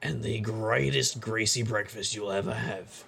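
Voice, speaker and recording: An actor playing a hard-drinking, roguish private investigator in a noir audio drama, gruff and husky, with wry, world-weary wisecracks. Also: male voice, fairly low pitch, fairly even intonation, measured pace, a bit bright. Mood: roguish enthusiasm. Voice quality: gravelly, husky.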